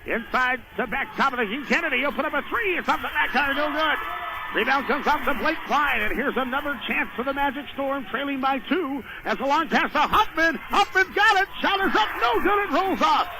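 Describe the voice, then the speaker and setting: A man doing live radio play-by-play of a basketball game in clipped phrases, rising to excited shouts on big plays. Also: very high voice, a little fast. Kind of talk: live radio sports commentary. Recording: noisy radio broadcast from a gym.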